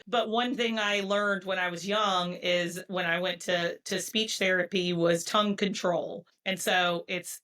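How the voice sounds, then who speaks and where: A woman's voice: mid-range voice, fairly bright tone. A woman speaking as an interview guest, telling candid, earnest stories with many restarts. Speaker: guest, loan originator. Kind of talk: casual interview chat.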